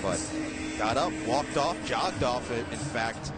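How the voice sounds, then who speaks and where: A man's voice: mid-range voice, unhurried, loud music behind the voice. A man calling live college football play-by-play from a stadium booth, with a strong, projecting voice that lifts on big plays. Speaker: play-by-play announcer.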